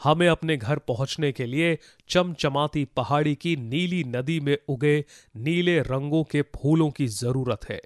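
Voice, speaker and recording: A man voicing a gentle, worried magical blue eggplant in a children's story, speaking earnestly. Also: on the high side, unhurried, few pauses, no room sound, studio quality, lightly acted. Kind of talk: Hindi children's moral story voice acting.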